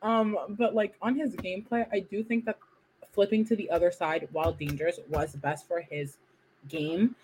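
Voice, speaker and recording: A woman whose first language is French, co-hosting a casual podcast chat in a playful, animated way, acting out quotes. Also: on the low side, moderate pace, a little hiss or hum, rough recording. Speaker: podcast co-host.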